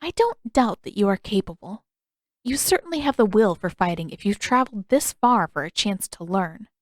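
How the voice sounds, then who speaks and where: A woman voicing a gentle, wistful elderly female healer in an audiobook, with measured, formal, reflective phrasing. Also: mid-range voice, animated, dry recording, studio quality, close to an everyday voice. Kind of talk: audiobook character dialogue.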